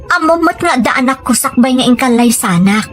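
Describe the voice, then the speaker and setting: A woman's voice: high voice, lively intonation, brisk, fairly bright tone, soft background music. Woman playing a worried, pleading Filipino wife. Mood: hurt, pleading. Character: worried, pleading mother of two, submissive wife. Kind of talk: scripted audio drama acting.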